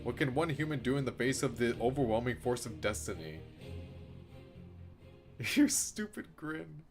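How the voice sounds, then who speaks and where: A man with an average-pitched voice reads a game's story aloud in an expressive, theatrical way. He slips into casual, sweary commentary as a streamer does. Music plays behind him. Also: normal speed. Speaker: streamer reading a visual novel aloud. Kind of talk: dramatic read-aloud mixed with casual live reactions.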